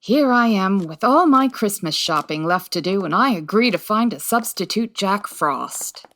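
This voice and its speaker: Woman acting a lively, wry 1940s lady detective. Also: mid-range voice, normal speed, a little hiss or hum, close to an everyday voice. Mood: matter-of-fact. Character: brisk, wry, no-nonsense 1940s female detective. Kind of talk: comedic old-time radio detective acting.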